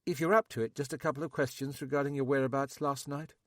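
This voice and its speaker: A man voicing a polite, measured female detective sergeant. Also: medium pitch, fairly even intonation, dry recording, clean studio sound, pitched above the performer's own voice, close to an everyday voice. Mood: calm.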